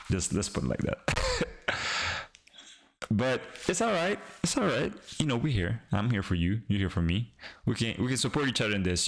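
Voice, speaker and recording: A young man from the Caribbean, whose first language is Creole, talks to his live-stream chat while he works on code. His voice sits a little low, and his speech wanders with frequent pauses and restarts. It is loose, easygoing streamer talk. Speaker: streaming developer.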